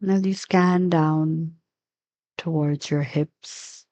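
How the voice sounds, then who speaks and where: A woman leading a guided meditation as a teacher, giving gentle, unhurried instructions with long pauses. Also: low-pitched, fairly even intonation.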